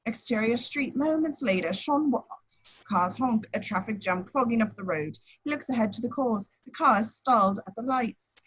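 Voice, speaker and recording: A woman narrates script directions at a casual table read. Her voice is fairly high with a husky edge, and the reading is plain. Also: animated, moderate pace, few pauses, fairly bright tone, poor audio. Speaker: action-description reader.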